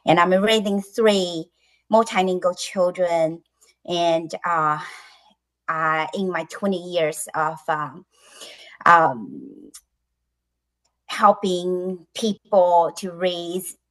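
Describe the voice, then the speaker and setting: A woman from China with a Chinese accent, speaking English as an acquired language, talks slowly as an interview guest, with many pauses and fillers. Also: on the low side, rough recording. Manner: slow and deliberate, many ums and pauses. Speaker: guest, children's book creator. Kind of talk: interview conversation.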